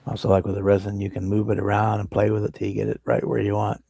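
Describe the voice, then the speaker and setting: Brief: male livestream host, gravelly husky voice, easygoing workbench talk. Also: lowish voice, fast talker, dry recording, studio quality. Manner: relaxed, rambling explanations while working. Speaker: model-building livestream host. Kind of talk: casual workbench chat.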